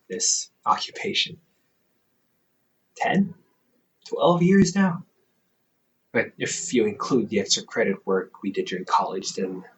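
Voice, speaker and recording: A man performs an older brother in an intimate audio roleplay, a male scholar who teases gently and reassures. His delivery is unhurried and halting, with pitch that moves freely up and down. There is faint noise behind him on a hobby microphone recording. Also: rough recording. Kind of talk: intimate audio roleplay, one-sided conversation.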